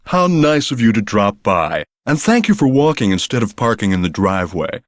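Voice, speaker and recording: An actor playing a smooth, self-assured tutor of the paranormal in a mystery game. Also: male voice, fairly low pitch, sing-song, normal speed, noisy background. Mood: polished. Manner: polished, condescending. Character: smooth male con-man tutor.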